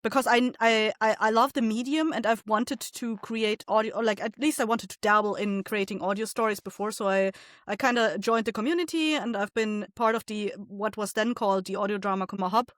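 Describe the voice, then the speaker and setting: A woman's voice: mid-range voice, a little fast, fairly bright tone, dry recording, clean studio sound. A woman speaking casually as an interview guest, thoughtful and somewhat hesitant as she feels for words. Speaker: interview guest, indie audio drama creator.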